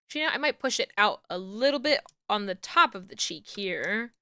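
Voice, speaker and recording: A young woman with a New Jersey accent, chatting casually on a live stream while she works, murmuring to herself and then greeting viewers with loud squeals. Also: mid-range voice, expressive, a little fast, clear, resonant tone, dry recording, clean studio sound. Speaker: VTuber streamer rigging a model.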